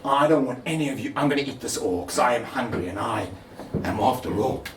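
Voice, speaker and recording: A man's voice: mid-range voice, lively intonation, a little fast, close to an everyday voice. A man voicing a hungry, self-important father who blusters pompously. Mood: greedy pompous. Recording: echoey room, distant mic, background noise.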